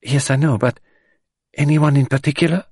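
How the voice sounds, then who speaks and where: A man's voice: a little high, fairly even intonation, normal speed, darkish tone, breathy edge, no echo, studio quality, voice pushed up. A man voicing a shy, anxious young French woman in an audiobook, hesitant and sweetly polite. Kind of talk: audiobook character voice.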